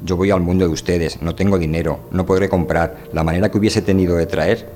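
A man playing a wary, stubborn visiting scientist from another world in a radio drama, halting and questioning. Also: sits low, fairly even intonation, darkish tone, slight background noise, natural voice. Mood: earnest, frank. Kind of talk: amateur radio drama reading.

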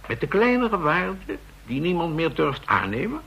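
A man's voice: fairly high pitch, sing-song, normal speed, vocal fry, breathy edge, dull audio, exaggerated voice. An actor playing an insistent male counterfeiting expert explaining his case to officers in a radio drama.

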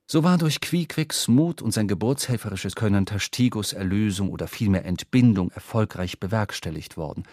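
A man narrating a literary radio drama as a storyteller, expressive and dramatic. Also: lowish voice, creaky, no echo, studio quality. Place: dry studio.

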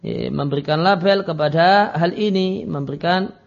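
A man's voice: fairly high pitch, moderate pace, creaky. A man giving an Islamic lecture as a teacher, reading Arabic phrases and explaining them didactically in a dull, lo-fi recording.

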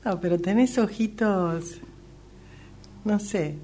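Mellow middle-aged Argentine woman, humming recording. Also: medium pitch, slow pace. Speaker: radio drama workshop participant. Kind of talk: casual face-to-face exercise chat. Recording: humming, noisy amateur recording.

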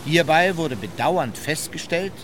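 A man's voice: on the high side, lively intonation, unhurried, background noise. A man reading a scripted memoir as a documentary narrator.

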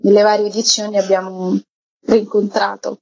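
A woman's voice: mid-range voice, little pitch movement, normal speed, gravelly, darkish tone. A woman explaining things as a radio interview guest, sometimes searching for words. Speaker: interview guest, cultural association representative. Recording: dull, band-limited sound.

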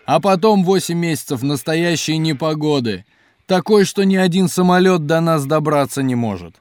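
An actor playing a hospitable, jolly geologist and cook at a polar station in a children's educational audio drama, with an animated, explanatory way of talking. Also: male voice, fairly high pitch, normal speed, no echo, lightly acted. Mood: rueful. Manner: animated, chuckling, explanatory, hearty.

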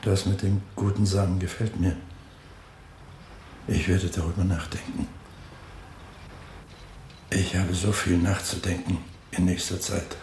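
An actor playing a weary, guarded old working-class father in a radio drama, speaking plainly and heavily with a gravelly voice. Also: deep voice, little pitch movement, a little slow, long pauses, darkish tone. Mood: subdued, reassuring. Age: older. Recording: roomy, with noisy ambience behind.

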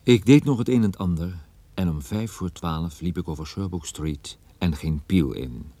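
A man acting a level-headed private detective who tells his own case in a radio play, in measured, suspenseful storytelling. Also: low-pitched, dark tone, no echo. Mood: tense, measured. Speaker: radio drama actor. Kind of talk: radio play dialogue and first-person narration.

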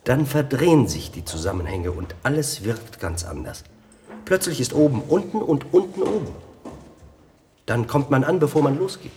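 An actor playing an intense, musing physicist in a radio drama, lecturing and speculating with halting rhetorical questions. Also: male voice, mid-range voice, expressive, a little mellow, close to an everyday voice. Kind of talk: German science-fiction radio drama acting.

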